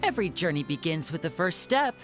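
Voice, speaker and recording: A woman's voice: sits low, big pitch swings, a little fast, over music. A woman voicing a suave, theatrical young male stage magician, courteous and showmanlike, in an anime-style video game. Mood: confident, pleased.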